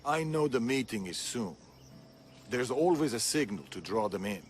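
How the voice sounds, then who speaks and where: A man's voice: mid-range voice, expressive, normal speed, slight background noise, lightly acted. An actor playing a serious older male assassin mentor who speaks in clipped instructions in a video game. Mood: grave. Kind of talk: video game cutscene acting.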